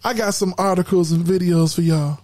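A woman's voice: fairly low pitch, fairly even intonation, a little fast, darkish tone. A woman hosting a podcast in casual, colloquial talk, emphatic and preachy, repeating words when fired up. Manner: colloquial slang. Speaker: podcast host.

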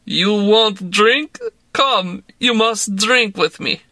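A man reading an audiobook voices a drunk young Russian actress with a Russian accent, speaking slow broken English in a dramatic, moody way. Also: high voice, pushed and bright, no room sound, studio quality, voice pushed up, exaggerated voice. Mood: tipsy. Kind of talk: audiobook character voice.

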